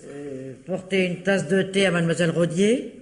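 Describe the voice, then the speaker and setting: An actress playing a brusque, protective household servant in a French radio drama, with a scolding, colloquial way of talking. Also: female voice, on the low side, moderate pace, close to an everyday voice. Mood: flustered. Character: brusque, protective, grumbling household cook and maid. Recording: echoey room.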